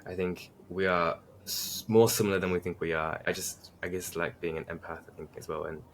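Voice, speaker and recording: A man's voice: low voice, flat delivery, a little fast, fairly bright tone, slight background noise. A man from Tasmania, a podcast guest chatting casually and reflectively about himself. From Tasmania, Australia.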